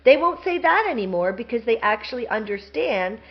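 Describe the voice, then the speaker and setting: A middle-aged woman guest talking about her own life, animated and heartfelt, acting out the voices of other people as she tells it. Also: a little high, a little fast. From Palestinian, grew up in Saudi Arabia. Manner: animated storytelling, acts out quoted voices. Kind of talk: emotional personal interview talk. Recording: dull lo-fi sound.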